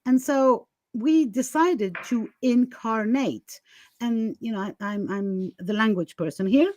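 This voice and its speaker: A woman's voice: on the high side, takes it slowly, a little mellow. A woman podcast host talking candidly and casually, emphatic and given to chuckling as she goes.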